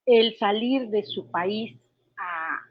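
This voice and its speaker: A woman's voice: mid-range voice, very slow, no echo, muffled recording. A woman speaking as a guest lecturer, presenting in an informal lecture style.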